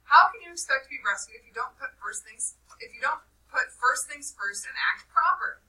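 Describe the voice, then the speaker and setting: A woman's voice: high voice, lively intonation, fairly quick, bright, forceful tone, distant mic, voice pushed up, full character voice, poor audio. A woman doing a whiny, indignant fat schoolboy. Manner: whiny, indignant, working-class grammar.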